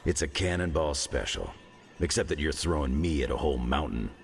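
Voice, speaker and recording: An actor playing a gruff, wisecracking clawed mutant veteran in a video game cutscene, with a sarcastic, joking manner. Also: male voice, lively intonation, fairly quick, soft background music, heavily acted. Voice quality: gravelly, very deep.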